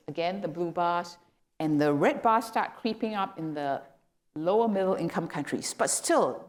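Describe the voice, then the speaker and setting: A middle-aged woman from Malaysia delivers a guest lecture in a hall, in a somewhat low voice. She explains her points patiently and rises sharply in pitch on the words she wants to stress. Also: a little mellow. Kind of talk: academic lecture.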